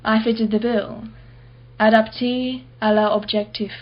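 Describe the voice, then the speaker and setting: A woman's voice: on the high side, flat delivery, measured pace, muffled recording. A woman playing a wealthy, blunt and haughty married woman in a radio play, clipped and defensive. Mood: guarded, brittle.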